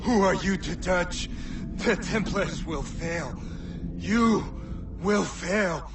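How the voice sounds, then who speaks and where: An actor playing a defiant, menacing male assassin in a video game, taunting and scornful in a gravelly voice. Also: high voice, slow, recorded from a distance, background noise. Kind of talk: video game cutscene acting. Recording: game audio with loud score.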